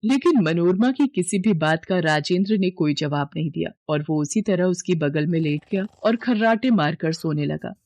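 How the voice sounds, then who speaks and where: A woman's voice: on the low side, animated, normal speed, few pauses, darkish tone. A woman reading a scripted dramatic story as its narrator.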